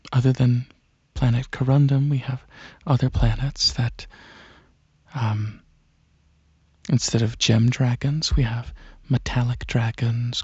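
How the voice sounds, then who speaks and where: A man voicing a regal, courteous dragon alien prince in a soft-spoken ASMR roleplay, with a husky, breathy voice and a hesitant, musing, explaining manner. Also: mid-range voice, no room sound, lo-fi sound.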